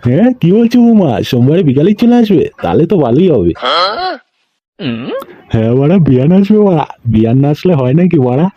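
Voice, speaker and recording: A man's voice: on the high side, very animated, fast, lo-fi sound, slight background noise. A man voicing a cranky, scolding, foul-mouthed elderly grandfather in a comedy cartoon. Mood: flustered. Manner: scolding, heavy swearing.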